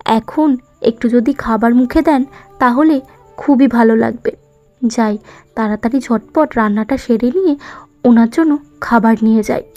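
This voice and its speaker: A woman's voice: a little high, normal speed, dark tone, natural voice. A woman playing a dutiful, principled young woman, a servant's daughter, speaking earnestly and respectfully in a cartoon. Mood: tender, concerned. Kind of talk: cartoon moral-story voice acting. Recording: muffled lo-fi audio with music bed.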